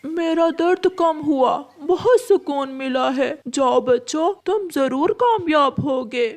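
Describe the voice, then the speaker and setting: A woman voicing an injured talking tree in a cartoon, making slow, earnest appeals. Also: sits high, darkish tone. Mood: grateful. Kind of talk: cartoon voice acting.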